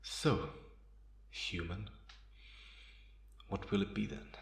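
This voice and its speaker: A man playing a calm, menacing male vampire in an ASMR roleplay, speaking in a deliberate, taunting way in a roomy, echoing space. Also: on the low side, creaky. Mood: quietly menacing. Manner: deliberate, softly chuckling, taunting. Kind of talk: ASMR roleplay, slow intimate monologue.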